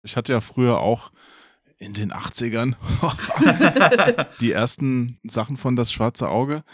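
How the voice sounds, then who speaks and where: A man's voice: medium pitch, animated, takes it slowly, fairly bright tone, slightly rough. A middle-aged man with a mid-range voice hosts the talk. He asks his questions in an informal, chatty style. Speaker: interviewer, host. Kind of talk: casual interview.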